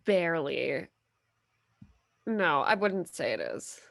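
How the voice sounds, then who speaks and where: A woman's voice: low-pitched, expressive, takes it slowly, gravelly, a bit bright. A young woman co-hosting a movie podcast with a stuffy nose, making dry, wry quips and laughing often. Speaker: podcast co-host. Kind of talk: casual chat.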